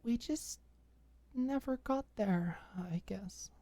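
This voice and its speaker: A woman voicing a nervous, embarrassed young woman who confides haltingly in an intimate audio drama. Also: medium pitch, dark tone, no room sound, pitched above the performer's own voice. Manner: halting, self-conscious.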